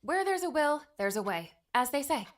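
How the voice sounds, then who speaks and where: Woman acting a high-pitched, plucky rescued traveler. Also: big pitch swings, moderate pace. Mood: defiant. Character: shaken but defiant young woman, rescued traveler. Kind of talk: dramatic audiobook character dialogue.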